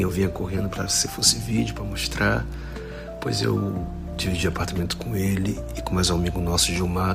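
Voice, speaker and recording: Gravelly-voiced Carioca man in his forties. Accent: Carioca Brazilian Portuguese. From Rio de Janeiro, Brazil. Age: 40s. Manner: halting, many restarts, emotional and rising in pitch. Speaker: actor sending a tribute message. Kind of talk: recorded audio message, personal remembrance. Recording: voice message with music underneath.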